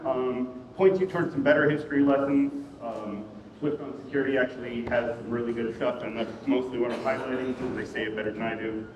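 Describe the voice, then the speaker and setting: A man giving a casual, self-deprecating conference talk, with lots of ums and restarts, in a room at a wedding venue. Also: mid-range voice. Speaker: conference speaker. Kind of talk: casual rambling tech talk. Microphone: room mic heard from a distance. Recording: echoey hall, distant, muffled.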